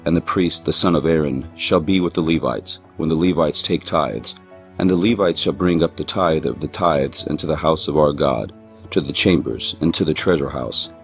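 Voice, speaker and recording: Male Bible reader, exceptionally deep bass register, formal measured scripture narration over music.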